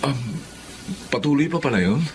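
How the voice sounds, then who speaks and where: A Filipino actor playing a hesitant, remorseful ex-boyfriend in a radio drama. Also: male voice, mid-range voice, lively intonation, normal speed, a little hiss or hum, lightly acted. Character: Gerald, remorseful lawyer ex-boyfriend, pleading and hesitant.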